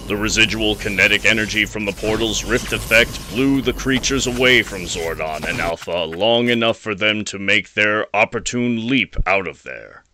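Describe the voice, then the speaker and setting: A man's voice: lowish voice, pushed and bright, a little hiss or hum. A man narrating a scripted audio drama in a dramatic storytelling style.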